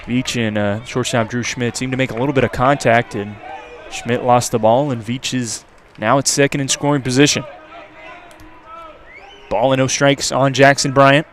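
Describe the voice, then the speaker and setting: Guy doing live baseball radio commentary, medium pitch, bit of crowd and ballpark noise in back. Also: fairly even intonation, normal speed, fairly bright tone. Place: outdoor ballpark. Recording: outdoor crowd and background noise. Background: ballpark crowd and ambient noise.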